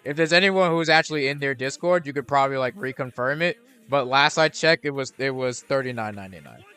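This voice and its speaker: Young guy streaming games, bright crisp voice, rambly with lots of restarts. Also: mid-range voice, a little fast, faint music behind. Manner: rambling, with frequent restarts and fillers. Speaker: game streamer. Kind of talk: casual gameplay commentary.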